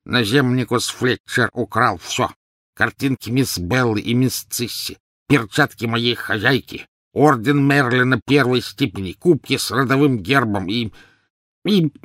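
A man voicing an old male house elf servant from a storybook, servile and anguished, with a croaking, wheezy, halting delivery. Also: mid-range voice, a bit bright, dry recording, clean studio sound, voice pushed up, close to an everyday voice. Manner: wailing, halting, drawn-out slow speech, speaks of self in third person.

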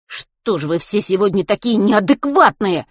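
A woman's voice: fairly high pitch, sing-song, measured pace, fairly bright tone, no room sound, clean studio sound, pitched above the performer's own voice. A woman voicing an agitated, impatient teenage boy in an audiobook, blurting out exclamations and questions. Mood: indignant, frantic.

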